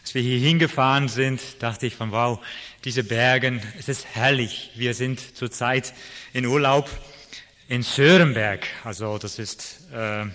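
A man's voice: medium pitch, steady tone, a little slow, fairly bright tone. A middle-aged man from the Netherlands, a native Dutch speaker with a Dutch accent, giving an informal personal talk as a guest speaker. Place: large reverberant hall. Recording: echoey hall with long reverb.

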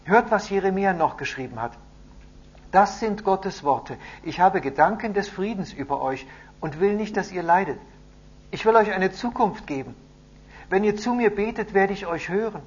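A man playing an excited messenger who loudly and emphatically calls out news in a story. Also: high-pitched, moderate pace, breathy edge, pitched above the performer's own voice. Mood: solemn, proclaiming. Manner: loud, emphatic calling to a crowd. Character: excited male messenger calling out important news. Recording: muffled audio with hum and noise.

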